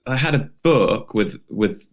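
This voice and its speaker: A man's voice: mid-range voice, animated, measured pace, vocal fry. A man co-hosting a podcast, chatting casually with dry, understated humour. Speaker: podcast co-host. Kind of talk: casual chat.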